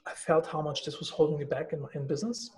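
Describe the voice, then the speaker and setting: A man's voice: a little high, fairly even intonation, quick pace. A man with a German accent, a company CEO speaking as a guest in a casual podcast conversation, candid and full of fillers, swearing now and then.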